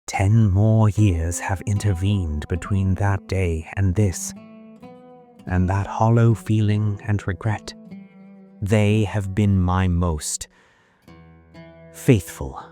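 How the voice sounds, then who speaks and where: A man playing a wistful young noble heir, telling his story in measured, formal first-person narration for an audio drama. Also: low voice, steady tone, dark tone. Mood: wistful, regretful. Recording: music under the voice. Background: music.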